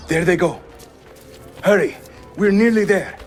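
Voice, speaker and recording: A man's voice: sits high, unhurried, faint music behind. A male actor playing a spirited, teasing Ottoman assassin leader in a video game, with a jovial, theatrical manner. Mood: lively, rousing.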